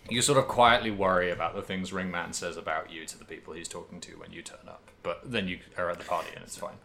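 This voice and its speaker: A man's voice: low-pitched, steady tone, fast, vocal fry, noisy background. A man hosting a casual video game podcast, talking in rambling riffs with some swearing. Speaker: podcast host.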